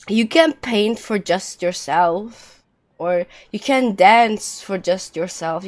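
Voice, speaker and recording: Slow-paced woman streaming a game. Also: mid-range voice. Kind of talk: casual live game commentary. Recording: game music behind the voice. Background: video game music.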